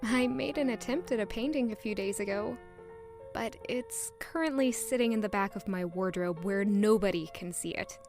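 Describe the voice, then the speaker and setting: A woman's voice: medium pitch, normal speed, darkish tone, faint music behind, natural voice. A woman playing a flustered, self-critical young woman in a romantic period fantasy audio drama, with formal, self-deprecating wording. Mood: wistful, frustrated. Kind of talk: audio drama acting with first-person narration.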